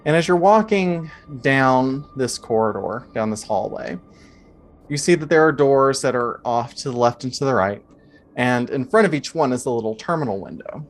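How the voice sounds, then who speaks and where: A man working as game master, narrating a tabletop adventure in a measured, descriptive, improvised way. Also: medium pitch, expressive.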